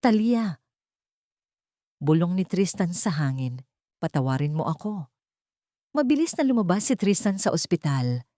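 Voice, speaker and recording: A woman's voice: deep voice, mellow, no room sound, clean studio sound. A woman reading a scripted story as a dramatic narrator.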